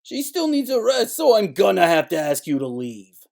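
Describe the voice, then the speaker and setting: A man's voice: high-pitched, very animated, moderate pace, creaky, darkish tone, heavily acted. A man playing a swaggering, defensive theater playboy and pianist in a noir audio drama, fussy and indignant. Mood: protective.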